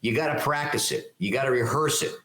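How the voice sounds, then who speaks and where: An older man, a leadership speaker, answering interview questions in a loud, articulate, emphatic way and laying out his points one by one. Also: medium pitch, brisk, reverberant. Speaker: keynote speaker and leadership mentor.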